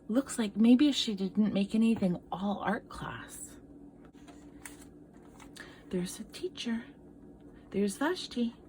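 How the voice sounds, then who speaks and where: A woman art teacher reading a picture book aloud to children, expressively, pausing for asides and questions to young listeners. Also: mid-range voice, dark tone, a little hiss or hum.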